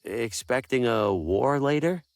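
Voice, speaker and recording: A man's voice: mid-range voice, lively intonation, a little slow, no room sound, clean studio sound. An actor playing a bemused, jittery traveling salesman with wry asides in an adventure video game. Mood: curious, dry. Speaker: player character in adventure game.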